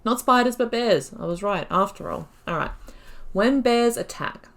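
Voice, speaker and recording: A woman's voice: mid-range voice, lively intonation, normal speed, gravelly, a bit bright. A woman giving casual, self-deprecating commentary as she plays a video game.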